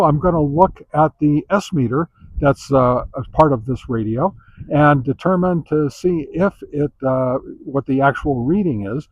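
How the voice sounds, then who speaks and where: Casting: male hobbyist reviewer, casual and halting, circles back over his points, muffled low-fidelity sound. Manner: halting, repetitive, hedging explainer. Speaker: reviewer presenting a radio antenna test.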